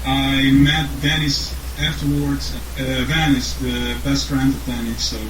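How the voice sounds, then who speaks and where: A man's voice: medium pitch, level tone, a little slow. A young man from Slovenia with a Slovene accent, answering interview questions about his band in a hesitant way, with many fillers and restarts. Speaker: band songwriter. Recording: echoey room with noise.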